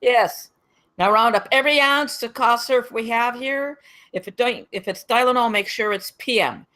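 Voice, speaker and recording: An actress playing a brash, pompous ex-military campus security captain in a comedy table read, blunt and commanding. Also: female voice, on the high side, moderate pace, bright, forceful tone. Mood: boastful, commanding. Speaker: actor in a screenplay table read. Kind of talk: comedy script read aloud.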